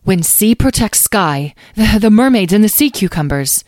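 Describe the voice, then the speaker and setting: A woman's voice: medium pitch, expressive, normal speed, darkish tone, no room sound, voice pushed up, close to an everyday voice. Woman playing an earnest, commanding mother dragon. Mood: urgent. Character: anxious, determined mother dragon leader, fiercely protective.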